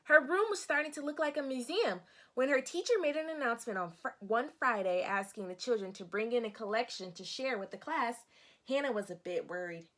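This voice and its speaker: Woman, slightly high voice, teacher-style storybook read-aloud, instructive, aimed at young children, questions to listeners. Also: expressive, brisk, fairly bright tone. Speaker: teacher reading a picture book aloud.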